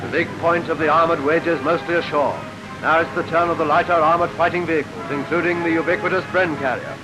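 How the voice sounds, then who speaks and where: British guy doing rousing ww2 newsreel voiceover, highish voice, loud music behind, old 1940s film sound. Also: fairly even intonation, quick pace, low-quality recording. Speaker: newsreel narrator. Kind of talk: 1940s wartime newsreel commentary, scripted.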